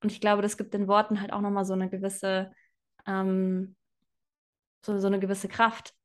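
A young woman who grew up bilingual, speaking as an interview guest in a relaxed, reflective way with frequent fillers and laughs. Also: medium pitch, normal speed. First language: bilingual German and Spanish. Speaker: guest, self-employed in personal branding. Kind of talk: casual interview conversation.

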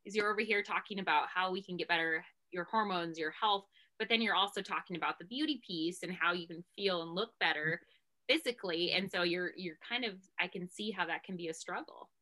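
Woman host, bright tense voice, eager and casual, trips over restarts. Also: lowish voice, a little fast. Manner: enthusiastic, with stumbling restarts. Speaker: interview host. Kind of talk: casual conversational interview.

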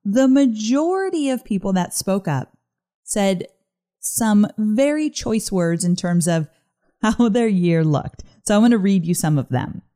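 A woman hosting a casual solo podcast, chatty and upbeat, her voice a little gruff this morning. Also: medium pitch, animated, unhurried, warm tone. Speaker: podcast host.

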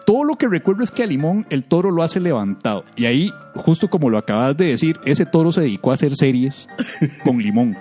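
Animated, high-pitched Costa Rican man. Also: fairly quick, soft background music. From Costa Rica. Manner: animated, exaggerating, acts out reactions; slangy, swearing. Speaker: comedy podcast host. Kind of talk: casual comic storytelling and banter.

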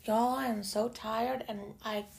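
A young woman from Canada with a low, raspy voice hosts a casual solo podcast, chatty and swearing freely, with lots of "like" and "y'all". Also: steady tone, measured pace. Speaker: podcast host. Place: home room in Toronto.

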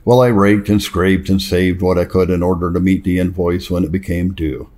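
A man's voice: deep voice, fairly even intonation, moderate pace, a little mellow. A man reading a first-person testimony aloud in an earnest, plain-spoken storytelling way. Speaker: reader.

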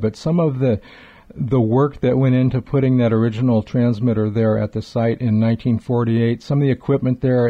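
A man co-hosting a radio show in a conversational, effusive, wordy way, with a mellow voice. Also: medium pitch, highs cut off.

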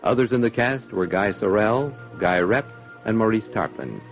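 A man reading the closing credits of an old radio program in a smooth, formal way. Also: on the low side, moderate pace, soft background music. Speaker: radio announcer. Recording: dull archival radio broadcast.

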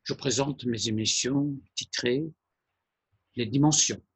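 Male presenter, measured and hesitant, husky low-energy delivery with a dry sound. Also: dry recording. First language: Persian. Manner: deliberate, halting phrasing with pauses. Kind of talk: explanatory monologue.

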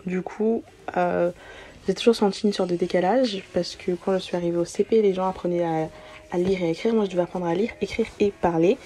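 Woman, early twenties, non-native French speaker, mellow dark timbre, slightly low pitch, informal podcast chat. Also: fairly even intonation, fairly quick, a little hiss or hum. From born outside France, moved to France as a young child. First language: not French. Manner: informal, slangy, sprinkles in English words. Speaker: podcast host. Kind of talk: casual solo podcast, answering self-introduction questions.

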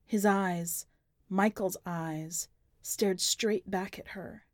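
A woman reads fiction in a scripted, dramatic storytelling style. Her voice sits at a middle pitch, and the recording is dry, with no room around it. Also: steady tone, extremely slow, no room sound. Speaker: podcast editor reading a short story.

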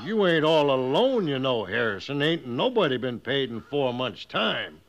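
A man's voice: mid-range voice, sing-song, moderate pace. On a muffled old radio recording, a folksy American cavalry trooper. He commiserates in a mid-range voice that rises and falls freely. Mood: commiserating. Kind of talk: 1950s radio western acting.

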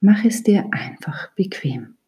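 A woman leading a guided yoga nidra meditation as an instructor, calm and soothing, with a soft, breathy, half-whispered delivery. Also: mid-range voice, slow pace.

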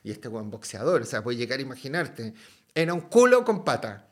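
A man's voice: medium pitch, fairly quick. Chatty Chilean man telling stories. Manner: lively, slangy and swears freely. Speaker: podcast guest. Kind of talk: casual storytelling.

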